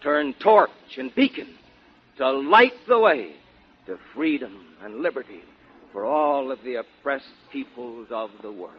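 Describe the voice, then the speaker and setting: A man's voice: mid-range voice, very animated, a little slow, breathy edge. A man with an American accent giving a solemn, fervent patriotic recitation in dramatic 1940s radio style. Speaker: guest orator on a radio show. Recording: muffled 1940s radio broadcast recording.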